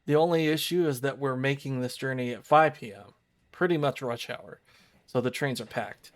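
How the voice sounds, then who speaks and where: A man's voice: medium pitch, normal speed. A man reading and talking through a visual novel on a livestream. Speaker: streamer.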